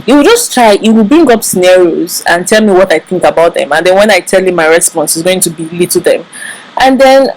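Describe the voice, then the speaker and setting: A woman's voice: on the low side, animated, a little fast, darkish tone, rough recording. A woman from Nigeria with a Nigerian English accent, giving relationship advice to camera in an earnest, emphatic way. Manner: earnest, emphatic, repeats words for stress. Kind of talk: casual advice talk to camera.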